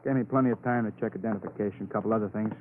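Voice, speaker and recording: An actor playing a weary, needling police detective who rambles through rhetorical questions in a vintage radio crime drama. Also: male voice, on the low side, steady tone, lightly acted. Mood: weary, insistent. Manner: slow-building, repeats the listener's name, asks rhetorical questions. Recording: noisy, narrow-band old radio broadcast.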